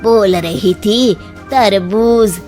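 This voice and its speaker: A woman's voice: medium pitch, extremely slow, a little mellow, soft background music. A woman voicing a frank, teasing older woman in a cartoon, blunt and drawling. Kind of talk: Hindi cartoon story dialogue.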